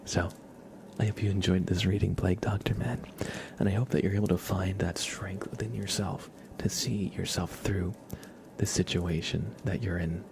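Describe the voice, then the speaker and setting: A man hosting an ASMR livestream in a soft, gentle, encouraging voice that often slips into whispering. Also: deep voice, fairly even intonation, moderate pace, vocal fry, background noise. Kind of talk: ASMR, soft-spoken live stream with whispering.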